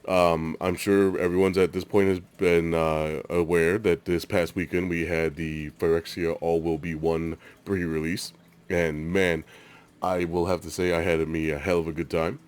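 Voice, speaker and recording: A man's voice: low voice, little pitch movement, normal speed, clean tone. A congested young man hosting a casual pack-opening video, rambling with lots of fillers. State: congested, stuffy nose. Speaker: card-opening channel host.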